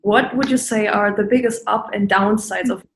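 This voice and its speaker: A woman's voice: mid-range voice, fairly even intonation, moderate pace, gravelly. A woman interviewer asking short, direct questions. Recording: echoey room.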